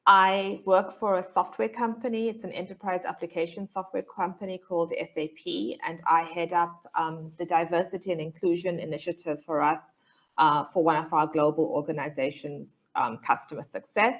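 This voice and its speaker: A woman's voice: fairly low pitch, steady tone, normal speed, fairly bright tone, muffled recording. A woman with a South African accent introducing herself as a panelist on a video call, upbeat and fluent.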